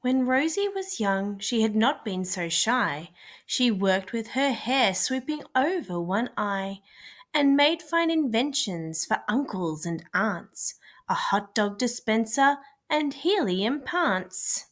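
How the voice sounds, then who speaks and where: A woman with a fairly high voice narrates a children's picture book. She reads the rhyming lines slowly, as a storyteller for young listeners. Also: big pitch swings. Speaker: children's read-aloud storyteller. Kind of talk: expressive scripted picture-book narration in rhyme.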